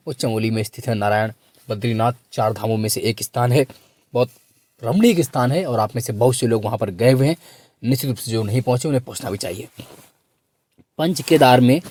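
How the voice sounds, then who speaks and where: A male coaching teacher speaks with a hoarse voice and a dark, soft-edged tone. He runs through quiz questions, repeating them and urging students to answer. The recording is very dry, with almost no room sound. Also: medium pitch, no echo. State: hoarse, sore throat.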